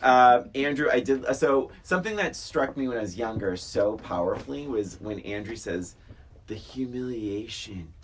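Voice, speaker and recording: A middle-aged woman in casual film talk, animated and a little theatrical when she quotes lines. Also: rumbling low, normal speed, slight background noise.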